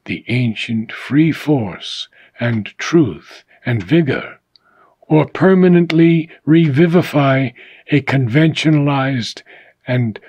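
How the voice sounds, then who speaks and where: A man reading philosophical prose aloud in a measured, deliberate manner, phrase by phrase with pauses. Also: mid-range voice, darkish tone, breathy edge, no room sound. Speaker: reader.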